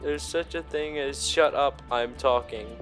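A man's voice: medium pitch, a little slow, soft background music. A man giving rambling video game commentary, swearing freely and hamming it up theatrically. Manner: foul-mouthed, theatrical, breaks into mock shouts. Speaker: host of a video game playthrough.

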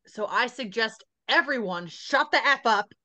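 A woman's voice: on the high side, animated, unhurried, pushed and bright. A woman playing a brash, bossy, foul-mouthed sister with a blunt, commanding manner in a script table read. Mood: bossy, impatient.

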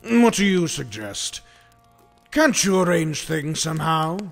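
An actor playing a courteous, earnest knightly saint in an audiobook drama, with formal, theatrical, archaic phrasing. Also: male voice, on the high side, very animated, a little slow, vocal fry, exaggerated voice. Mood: troubled, reasoning. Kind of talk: amateur audiobook drama acting. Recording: long hall reverb, faint music.

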